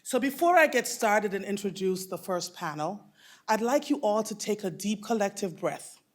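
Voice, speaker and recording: A woman in her fifties with a boomy voice, emceeing a conference and effusively addressing the audience from the stage. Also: animated, normal speed. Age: 50s. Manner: effusive, calls people darling. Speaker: mistress of ceremonies.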